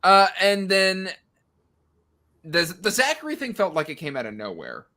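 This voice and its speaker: A man's voice: high-pitched, wildly swooping pitch, normal speed, pushed and bright. A man chatting casually as a panelist in a book discussion, animatedly acting out characters' lines in a mocking way. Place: indoors on a livestream video call.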